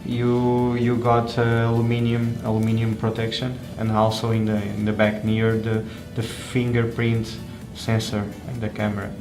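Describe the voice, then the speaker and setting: Halting man over music in an echoey room. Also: on the low side, completely flat delivery. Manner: halting, hesitant delivery with frequent pauses and repeated words. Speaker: tech reviewer presenting a phone. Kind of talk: unscripted hands-on product review.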